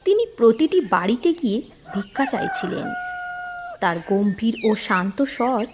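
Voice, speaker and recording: Lively, expressive woman storyteller. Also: a little high, moderate pace, background noise. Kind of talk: scripted audio-story narration.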